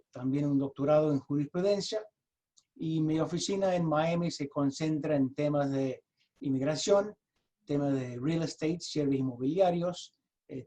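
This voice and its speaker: A man with an American accent, a native English speaker, giving an explanatory webinar presentation in his second language over a video call, halting and often restarting his sentences. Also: on the high side, dark tone. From United States. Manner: halting, with frequent restarts and repeated words.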